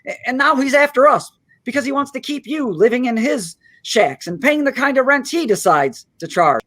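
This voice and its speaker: A man playing an earnest, kind-hearted small-town loan manager in a live radio play, stammering and halting as he pleads. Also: extremely high pitch, lively intonation, bright, forceful tone, full character voice. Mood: desperate, pleading.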